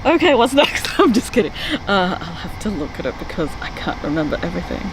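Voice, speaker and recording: A woman who interviews her guest in casual chat, eager and quick to laugh. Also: on the low side, very animated, slight background noise, poor audio. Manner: eager, giggly, with frequent short reactions.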